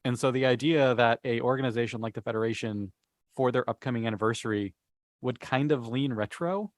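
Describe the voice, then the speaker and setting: A man's voice: medium pitch, fairly quick, no room sound. A man on a podcast panel, casually explaining fan theories in a rambling, enthusiastic way.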